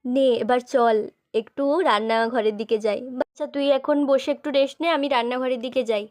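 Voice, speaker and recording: A woman's voice: high voice, steady tone, brisk, darkish tone, close to an everyday voice. A woman voicing a caring, bossy elder sister doll in a homely, chatty storytelling style. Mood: brisk, homely. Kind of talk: doll-play storytelling dialogue.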